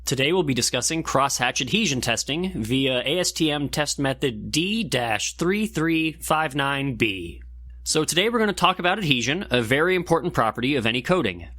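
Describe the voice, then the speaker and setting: A man's voice: on the high side, animated, normal speed, bright, forceful tone, no room sound. A man presenting a scripted instructional segment in a methodical, step-by-step way. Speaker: segment presenter and lab technician. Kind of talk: scripted explainer narration.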